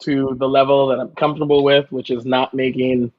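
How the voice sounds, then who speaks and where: A man's voice: medium pitch, flat delivery, brisk, dry recording, muffled recording. A man chatting casually as a guest chef, with a joking, self-deprecating manner. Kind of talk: casual chat.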